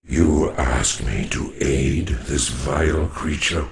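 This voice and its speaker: A man playing a grave, proud ancient alien warrior in a sci-fi game, with a gravelly voice and solemn, stern, formal delivery. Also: takes it slowly, full character voice. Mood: indignant. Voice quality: deep, gravelly. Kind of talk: dramatic sci-fi game voice acting.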